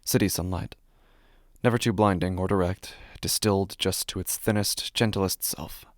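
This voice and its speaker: A man performing first-person horror narration as an uneasy city dweller, in hushed, deliberate, short phrases. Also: low voice, steady tone, no room sound, studio quality, lightly acted. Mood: calm, reflective. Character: uneasy city dweller recounting a creepy homecoming. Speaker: narrator of a horror fiction podcast.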